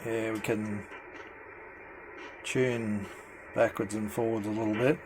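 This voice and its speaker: Halting man in a noisy recording. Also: lowish voice, little pitch movement. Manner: hesitant, halting delivery with many pauses. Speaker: amateur CB radio hobbyist presenter. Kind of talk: unscripted hands-on demonstration. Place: indoor room at home with radio gear.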